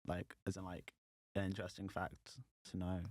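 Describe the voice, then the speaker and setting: A man's voice: low-pitched, monotone, normal speed, darkish tone, dry recording, clean studio sound. A young man chatting casually as a student podcast co-host. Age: young adult.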